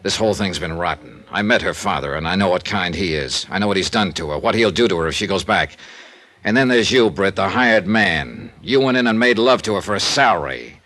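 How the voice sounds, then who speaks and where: An American actor playing a world-weary, blunt insurance investigator in a 1950s radio detective drama, clipped and matter-of-fact. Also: male voice, low-pitched, animated, fairly quick, sharp tone. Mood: stern. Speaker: lead actor and narrator. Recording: old radio recording with music.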